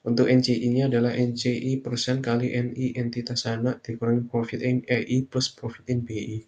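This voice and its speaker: Flat-toned young man presenting. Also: medium pitch, a little fast. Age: young adult. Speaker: university student presenter. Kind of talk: slide presentation, explanatory reading.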